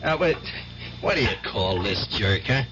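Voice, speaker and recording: An actor playing a mocking, hot-tempered gambler, slangy and boisterous, in radio drama style. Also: male voice, fairly low pitch, lively intonation, normal speed, natural voice. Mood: jeering. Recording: muffled radio drama recording, faint hiss.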